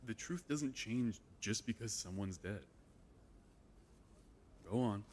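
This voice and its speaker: A man's voice: fairly low pitch, animated, takes it slowly, darkish tone, slight background noise. A man playing a solemn, probing warrior comrade with a commanding manner in a fan audio drama. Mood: steady, knowing.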